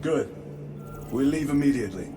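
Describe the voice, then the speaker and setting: An actor playing a stoic veteran space marine lieutenant in a video game cutscene, terse, grave and restrained. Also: male voice, medium pitch, lively intonation, moderate pace, background noise, close to an everyday voice.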